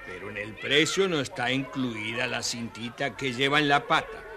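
A man's voice: mid-range voice, sharp tone, lightly acted. An actor playing a folksy, coaxing father selling animals at market in a children's audio story. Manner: drawn-out, coaxing.

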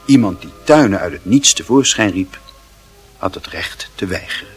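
A man narrating a radio play in a literary style, with measured, dramatic phrasing. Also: deep voice, expressive, husky, faint music behind.

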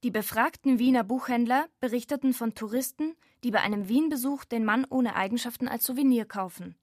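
High-voiced woman narrating, very dry sound. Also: brisk, darkish tone, no echo. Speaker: narrator and presenter of a radio feature. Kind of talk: scripted narration.

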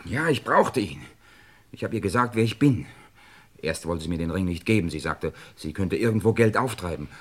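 A man's voice: deep voice, animated, normal speed. An actor playing a tense, cynical man living under a false identity in an old-style crime radio play, speaking with urgency and bitterness. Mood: shaken, urgent. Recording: music behind the voice.

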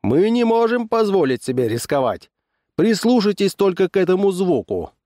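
Man as a showy, high-pitched fairground barker. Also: very animated, normal speed, warm tone, no echo, clean studio sound. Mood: dramatic hype. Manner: emphatic, dramatic sales patter. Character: showy male fairground barker hyping a dangerous exhibit.

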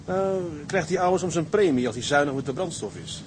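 An actor playing a steady, dutiful ship's first mate in a Dutch radio drama, respectful, businesslike and plain-spoken. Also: male voice, brisk, lightly acted. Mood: probing. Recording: noisy, engine rumble behind.

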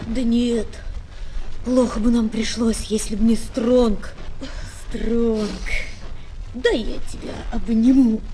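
A woman's voice: a little high, steady tone, takes it slowly, mellow, a little hiss or hum, poor audio. An actress playing a brave, tender young boy in a children's audio drama. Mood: warm, grateful. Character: brave, tender young boy who loves his pet boa. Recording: old flexi-disc record.

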